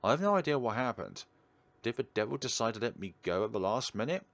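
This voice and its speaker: A man's voice: mid-range voice, very animated, quick pace, a bit bright, dry recording. A man reading a visual novel aloud theatrically and adding his own casual commentary as a let's player. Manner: theatrical, emphatic reading with interjected reactions. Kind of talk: dramatic read-aloud narration with casual gameplay commentary. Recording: clearly noisy background.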